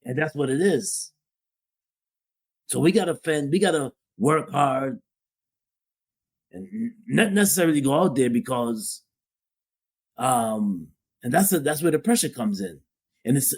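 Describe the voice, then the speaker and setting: Husky man talking it through slowly. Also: mid-range voice, a little mellow. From Guyana (family). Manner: repetitive, restarts and repeats phrases for emphasis. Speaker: podcast co-host. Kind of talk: casual opinion talk.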